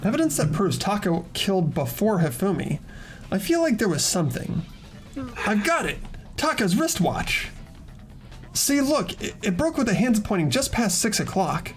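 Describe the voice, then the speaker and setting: A woman playing an earnest, determined teenage investigator in an amateur game dub, expressive in dialogue and inner narration. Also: medium pitch, normal speed, soft background music, close to an everyday voice. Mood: urgent. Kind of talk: scripted amateur visual novel voice acting and inner narration.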